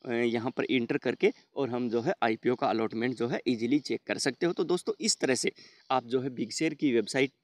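A man's voice: medium pitch, moderate pace, no room sound. A man narrating a screen-recorded how-to tutorial, walking viewers through each step with many repeated fillers. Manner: step-by-step, repetitive fillers, addresses viewers as friends. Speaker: tech tutorial host.

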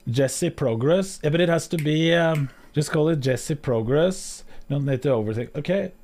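A man in his thirties with a Norwegian accent, chatting slowly and hesitantly on a live stream from his home setup, with plenty of fillers and restarts. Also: a little high, darkish tone. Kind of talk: casual live-stream chat. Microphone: studio mic on boom arm, headphones.

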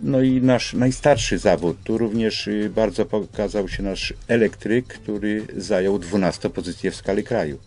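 An older man explains things as a guest in a radio interview, with halting phrasing, on a studio microphone. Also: on the low side, darkish tone. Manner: halting, hesitant phrasing with repeated starts. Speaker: school representative, radio guest. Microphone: studio microphone on boom arm.

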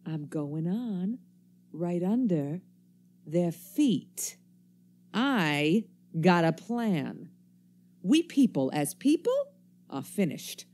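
Low, swooping, cynical survivor. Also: female voice, sing-song, very slow, pauses often, darkish tone, heavily acted. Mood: scheming, scornful. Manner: folksy, clipped slangy speech, cynical. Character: wary, embittered survivor stranger armed with a knife. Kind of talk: radio play acting.

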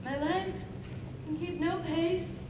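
A woman's voice: big pitch swings, slow pace, heavily acted. A woman voicing a swooning young actress who drifts into sleepy, dreamy verse. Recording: echoey hall, rumbling noise.

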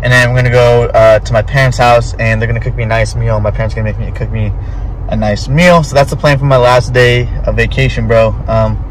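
A young man in his twenties vlogs from the driver's seat, phone at arm's length. He talks fast and loose, peppering everything with bro and offhand swearing. A faint hum of the car and outside traffic sits under his voice. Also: mid-range voice, fairly even intonation, low-quality recording. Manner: laid-back slangy, says bro a lot, swears casually. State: tired, feeling off. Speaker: vlogger. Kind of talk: casual vlog talk to camera. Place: inside a parked car. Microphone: phone held at arm's length.

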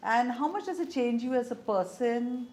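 A woman's voice: on the high side, normal speed, darkish tone, slight background noise. A woman in her fifties from India, interviewing a guest in a small indoor room and asking long, meandering questions. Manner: long rambling questions with fillers.

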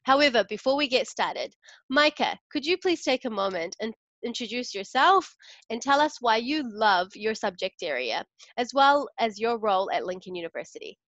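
A woman's voice: a little high, expressive, normal speed, fairly bright tone, no echo. A young woman interviewing for a university, asking polished, upbeat questions over a video call. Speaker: interviewer from student liaison. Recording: dull lo-fi call audio.

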